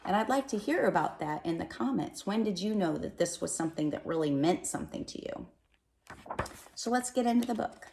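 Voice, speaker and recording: A woman reading a children's picture book aloud in an expressive, animated way, with dramatic pauses and emphasis. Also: fairly low pitch, gravelly, noisy background. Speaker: storybook reader. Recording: roomy, echoey sound.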